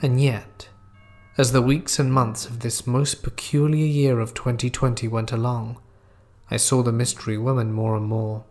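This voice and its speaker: A man reading a scripted first-person horror story in a measured, dramatic storytelling manner. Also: mid-range voice, level tone, a little mellow, faint music behind.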